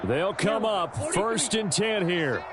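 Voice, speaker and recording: A man playing a football play-by-play announcer in a video game, calling the action briskly. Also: high voice, animated. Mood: matter-of-fact. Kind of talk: sports play-by-play commentary. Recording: loud game stadium noise behind.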